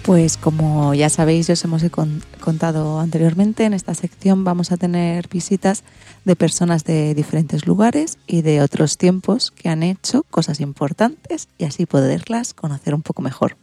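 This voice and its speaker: A woman's voice: sits low, moderate pace, mellow, faint music behind. A woman with an accent from Spain hosting a children's radio programme, partly reading from a script.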